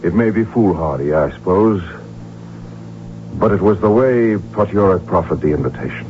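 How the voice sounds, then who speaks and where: An actor in a radio drama playing a proud, stubborn but affectionate archduke, speaking in a measured, formal, aristocratic manner. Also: male voice, low voice, lively intonation. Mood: stubborn, fond. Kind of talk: 1970s radio drama acting. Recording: dull, noisy old radio broadcast recording.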